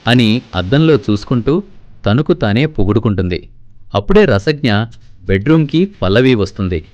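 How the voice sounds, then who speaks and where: A man's voice: medium pitch, animated, moderate pace, darkish tone, dry recording, dull audio. A man reading scripted storybook narration.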